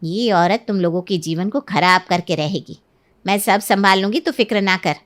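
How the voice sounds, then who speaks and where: A woman playing a smooth-talking, manipulative middle-aged mother-in-law in a Hindi cartoon story, persuasive and full of feigned concern. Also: medium pitch, moderate pace, lightly acted. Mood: sly persuasion.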